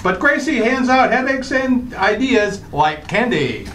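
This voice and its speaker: A man's voice: high voice, big pitch swings, a little slow, a bit bright, slight background noise, exaggerated voice. A man playing an eager, friendly radio announcer and sidekick in old-time comedy style. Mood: announcing.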